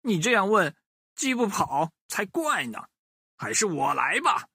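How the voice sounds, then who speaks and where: A man's voice: high voice, very animated, a little slow, sharp tone, breathy edge, no echo, pitched above the performer's own voice, exaggerated voice. Zhu Bajie: a man doing a cocky chuckling pig monk. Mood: smug. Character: greedy jolly pig monk, male, boastful.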